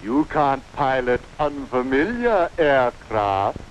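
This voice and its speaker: An actor playing a taunting villain in a 1940s cartoon, with a deliberate, mocking delivery. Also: male voice, mid-range voice, very animated. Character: sneering male villain, taunting enemy of pilots. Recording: muffled 1940s film soundtrack, loud music.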